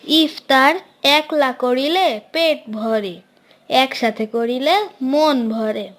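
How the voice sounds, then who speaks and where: Woman as a cartoon village girl, high-pitched, slow, modest, earnest. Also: a bit bright, exaggerated voice. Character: humble, kind, wise young village girl in a cartoon.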